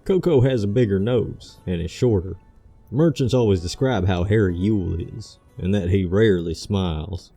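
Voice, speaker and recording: A man voicing a gruff, suspicious male villager who mutters and grumbles in an audiobook fantasy story. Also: sits low, moderate pace, warm tone, faint music behind, voice pushed down. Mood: wary. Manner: muttering, distrustful.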